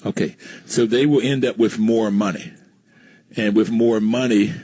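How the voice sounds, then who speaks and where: Low-voiced American man interviewing. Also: fairly even intonation, a little slow, warm tone, no room sound. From West Virginia, United States. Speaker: radio show host, interviewer. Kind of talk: conversational interview.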